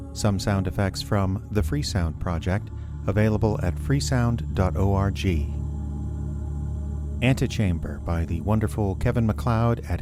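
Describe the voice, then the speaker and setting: A man reading scripted credits as an announcer, measured and formal. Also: deep voice. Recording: music behind the voice.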